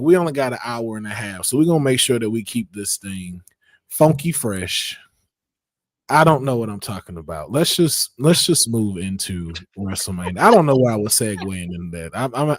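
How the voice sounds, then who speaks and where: A man hosting a casual podcast, raspy and hoarse after losing his voice, joking and laughing as he talks. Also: medium pitch, no echo. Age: adult over twenty-two. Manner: playful, joking, laughs often. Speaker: podcast host. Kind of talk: casual chat.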